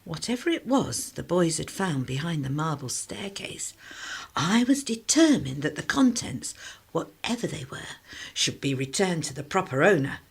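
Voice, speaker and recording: A woman's voice: low voice, big pitch swings, moderate pace, creaky, slightly rough. A woman reading scripted first-person narration for a radio drama.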